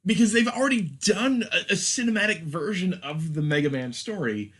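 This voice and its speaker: Higher-pitched male podcast host, wry. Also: lively intonation, moderate pace, a bit bright. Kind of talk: casual film chat.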